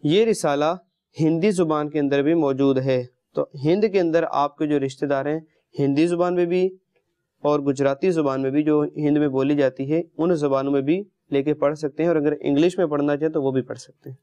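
A man's voice: a little high, steady tone, mellow, clear, resonant tone, no room sound, highs cut off. A man telling religious stories and moral lessons to children in an instructive way, often addressing his listeners directly. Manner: didactic, addresses listeners with questions and pet phrases. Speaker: religious storyteller and host of a children's programme. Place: indoor studio set, seated on carpet among children.

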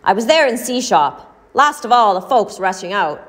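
A woman's voice: medium pitch, very animated, normal speed, close to an everyday voice. A woman voicing a bitter, whining blind male peddler who tells his story in a pleading, dramatic way. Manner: pleading, self-pitying, rising to shouts.

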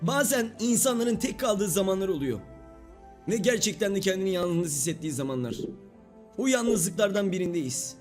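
A man live streaming games with excitable, sweary commentary, often repeating his words. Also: high-pitched, normal speed, faint music behind. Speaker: game streamer.

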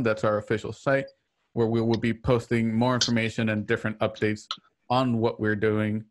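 A man hosting an online radio drama show, chatting casually as he signs off on a livestream. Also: medium pitch. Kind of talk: casual livestream sign-off chat. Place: indoors at home on a video call.

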